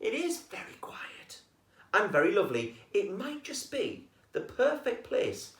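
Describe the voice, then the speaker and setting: A storybook reader voicing a trio of noisy, eager foxes in a high voice that keeps dropping to a whisper. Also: very animated, moderate pace. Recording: roomy echo, voice far from mic.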